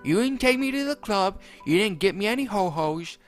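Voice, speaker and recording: A man's voice: on the high side, expressive, a little fast, a bit bright, soft background music, pitched above the performer's own voice. A man voicing a bratty, foul-mouthed young boy who whines and threatens. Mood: bitter.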